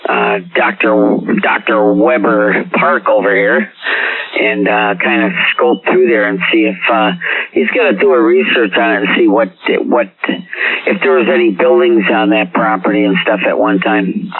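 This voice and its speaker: A man's voice: fairly low pitch, big pitch swings, normal speed, gravelly, husky, dry recording. A man chatting casually over CB radio, rambling and searching for his words. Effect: CB radio transmission. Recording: tinny CB radio with faint hiss.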